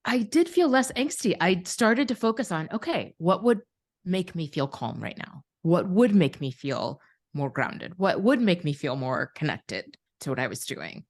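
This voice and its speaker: A woman with a slightly low voice talks as a podcast co-host. Her style is conversational and reflective, like one friend thinking aloud with another. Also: big pitch swings, measured pace. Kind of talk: casual, reflective podcast chat.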